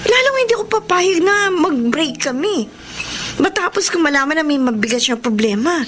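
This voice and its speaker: An actress playing an earnest, worried young student in a radio drama. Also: female voice, sits high, lively intonation, moderate pace, background noise. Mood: troubled. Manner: earnest, polite. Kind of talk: radio drama acting and narration.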